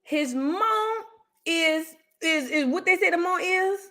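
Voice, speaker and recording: A woman hosts a casual reaction stream, talking loudly and with emphasis, repeating her punchlines and calling on viewers by name. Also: very high voice, lively intonation, takes it slowly. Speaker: livestream host reacting to history content.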